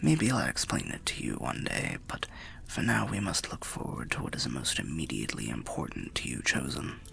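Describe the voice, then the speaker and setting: A man voicing a weary, kindly old undead warrior who rambles gently in a breathy, gravelly half-whisper, like a fantasy ASMR storyteller. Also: very deep voice, big pitch swings, full character voice. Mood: welcoming, reflective. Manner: slow, rambling, archaic, gently cautionary storyteller. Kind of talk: ASMR fantasy roleplay monologue.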